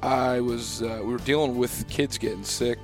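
A young man hosting a podcast, hoarse and losing his voice, talking in a loose, rambling way with many fillers and restarts. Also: medium pitch, steady tone, moderate pace, over music. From Pennsylvania. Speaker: podcast host. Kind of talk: casual chat.

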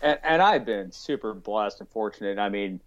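A man's voice: fairly low pitch, lively intonation, normal speed. A middle-aged man from Arkansas, chatting as an interview guest in a folksy, rambling way with many fillers. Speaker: guest, rifle company owner. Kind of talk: casual interview chat. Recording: dull lo-fi audio.

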